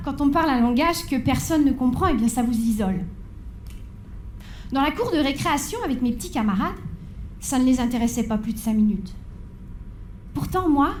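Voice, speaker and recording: A woman in her forties tells her story from a stage, her voice pitched high and carrying through an echoey hall. She shifts between dramatic build-up and wry, playful asides to the audience. A faint hum of background noise sits under her words. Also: darkish tone. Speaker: conference speaker.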